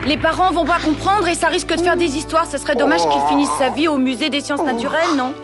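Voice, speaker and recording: A woman voicing a kind, sensible young kid who loves animals, gentle and reassuring, in a French cartoon dub. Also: high voice, fast. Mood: concerned. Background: loud music.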